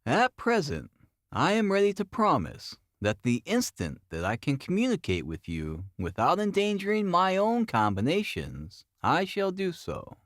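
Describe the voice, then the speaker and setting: A man's voice: a little high, huge pitch swings, unhurried, no room sound, clean studio sound. A man voicing a shrewd, commanding detective in an audiobook, speaking precisely and formally with great self-assurance. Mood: confident, measured. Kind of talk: audiobook character dialogue.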